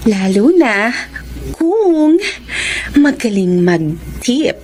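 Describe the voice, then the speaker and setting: A seductive escort in a Filipino radio drama, coquettish and out for money. She drawls extremely slowly in a highish, swooping voice. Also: sing-song, a little mellow, a little hiss or hum, full character voice. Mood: flirtatious. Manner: coquettish, purring, teasing.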